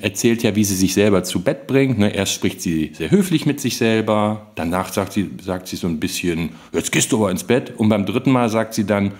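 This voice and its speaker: A man's voice: on the low side, lively intonation, normal speed, few pauses, darkish tone. A man hosting a podcast in casual chat, often seeking agreement with short tag questions. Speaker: podcast host.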